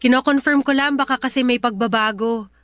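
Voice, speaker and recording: A woman's voice: on the high side, a little fast, background noise, lightly acted. An actress playing a clingy young mother in a radio drama, pleading insistently with her former partner. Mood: tentative, coaxing.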